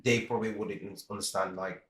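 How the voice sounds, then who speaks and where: A man in his thirties, from the Philippines, talking as a podcast guest in a relaxed, rambling way with many restarts. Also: lowish voice, quick pace, echoey room, slight background noise. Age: 30s. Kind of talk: casual interview chat.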